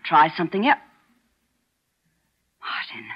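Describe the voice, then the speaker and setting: An actress playing an anxious, insistent hospital nurse in urgent 1940s radio drama style. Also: female voice, lowish voice, big pitch swings, slow, husky, heavily acted. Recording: muffled old radio recording.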